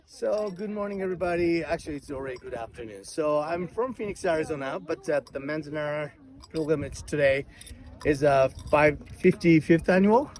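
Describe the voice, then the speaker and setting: A middle-aged man from Japan speaking English with a Japanese accent. He is a taiko musician giving an interview outdoors, with lively, loosely phrased non-native English. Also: fairly high pitch, a little fast. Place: outdoor memorial gathering. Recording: crowd noise around outdoor mic.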